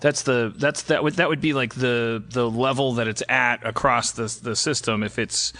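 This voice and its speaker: A man's voice: mid-range voice, fairly even intonation, moderate pace, vocal fry, sharp tone, no echo. A man hosting a casual comedy show, talking in a stammering way that repeats words and restarts phrases. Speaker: comedy podcast host. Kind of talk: casual comic banter.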